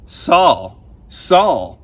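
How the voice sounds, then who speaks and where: A young man voicing a loud, commanding divine figure in a storybook, speaking in a solemn, emphatic way. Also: a little high, sing-song, drawn-out words, breathy edge, recorded from a distance, pitched above the performer's own voice, exaggerated voice. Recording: outdoor ambient noise.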